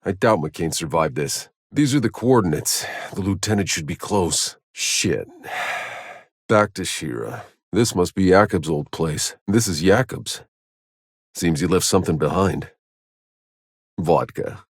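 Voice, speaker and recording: A man's voice: low voice, expressive, a little slow, husky, no room sound, exaggerated voice. An actor playing a hardened, weary male soldier in a sci-fi video game, speaking in a terse, dry, sardonic way. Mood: terse, wry. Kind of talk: scripted game voice lines.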